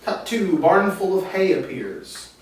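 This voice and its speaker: A man with a slightly high voice narrates stage directions at a table read. He is far from the mic in a very echoey room. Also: sing-song, measured pace. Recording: distant mic, echoey room, faint noise.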